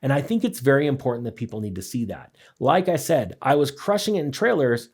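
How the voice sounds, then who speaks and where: A man's voice: medium pitch, animated, brisk, darkish tone, breathy edge. A man hosting a casual explanatory talk, speaking directly to viewers in a conversational way with frequent check-in questions. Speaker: host, side hustle course teacher.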